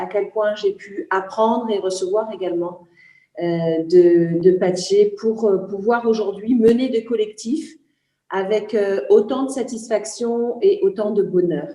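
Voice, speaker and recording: A woman's voice: medium pitch, steady tone, unhurried, mellow, roomy sound, rough recording. A woman from Senegal gives a formal testimonial about her work in long, earnest sentences broken by frequent hesitations. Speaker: speaker presenting a project.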